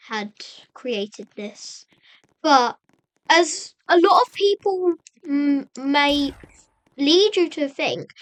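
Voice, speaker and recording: A woman narrates a story recap at a slow, halting pace, building suspense as she goes. Her voice is high and bright, and she leans on repetition and rhetorical questions for drama. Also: rough recording. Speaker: video narrator and host.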